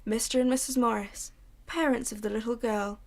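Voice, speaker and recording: A woman narrating a radio drama in a low, hushed storytelling manner. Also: steady tone, moderate pace, gravelly, a little hiss or hum.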